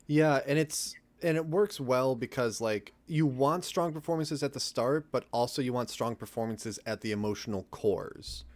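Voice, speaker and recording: A man's voice: medium pitch, normal speed, hardly any pauses, darkish tone. A man, a voice actor, talking casually in a group commentary on an audio drama.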